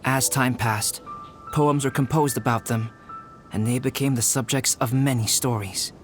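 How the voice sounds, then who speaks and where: An actor playing a composed, logical young artist in an anime-style video game, speaking in a measured, courteous and articulate way. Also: male voice, medium pitch, steady tone, soft background music, close to an everyday voice. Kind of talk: anime-style game acting, formal speech.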